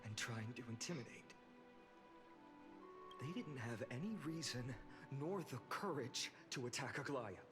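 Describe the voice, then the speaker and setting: A man voicing a strained young warrior lord in a video game, subdued, halting and intense. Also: mid-range voice, animated, natural voice. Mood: troubled, puzzled. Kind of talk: dramatic video game acting. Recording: loud game music underneath.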